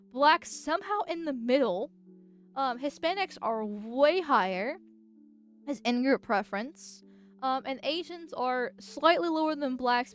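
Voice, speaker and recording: A woman's voice: high voice, clear, resonant tone. A woman streamer with a nasal voice, chatting casually in a rambling, giggly way with frequent filler words. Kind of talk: casual livestream chat.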